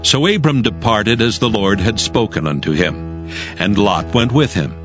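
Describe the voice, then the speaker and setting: A man narrating scripture as a scripted dramatized audio reading, in a solemn, measured storytelling manner. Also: lowish voice, animated. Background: music.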